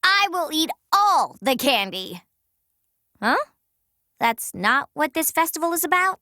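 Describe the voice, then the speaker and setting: An eager young fantasy game heroine. The voice is very high and bright, with lively, swooping intonation, recorded dry and close. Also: female voice, a little slow, dry recording, full character voice. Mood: playful, excited. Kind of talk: scripted video game voice lines.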